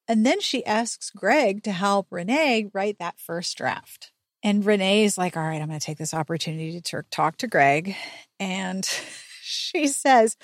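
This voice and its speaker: A woman's voice: on the high side, dry recording, clean studio sound. Sarcastic, sweary woman podcast host. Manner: animated, sarcastic, sweary storytelling. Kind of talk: chatty book recap with commentary.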